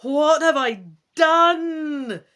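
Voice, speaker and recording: A woman performing a dramatic storyteller who intones a fantasy dragon tale in a drawn-out, portentous manner. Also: high voice, a bit bright, voice pushed up, heavily acted. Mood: urgent, theatrical dread.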